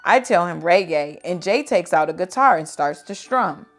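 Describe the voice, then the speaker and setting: A woman reading children's picture books aloud in an expressive, dramatic and deliberate storytelling style. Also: on the low side, soft background music.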